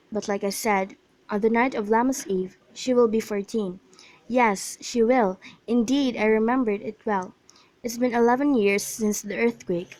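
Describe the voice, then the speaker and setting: A woman's voice: on the high side, natural voice, rough recording. A woman playing a fond, bawdy old nurse in an amateur radio drama, chatty and rambling as she repeats herself. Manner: chatty, repetitive storytelling.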